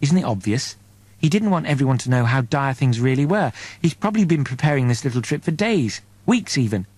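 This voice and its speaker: A man's voice: mid-range voice, animated, fairly quick, darkish tone, breathy edge, no room sound. A man voicing a bitter, exasperated spaceship crewman in an audiobook, with crude, sardonic delivery. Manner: scathing, sardonic.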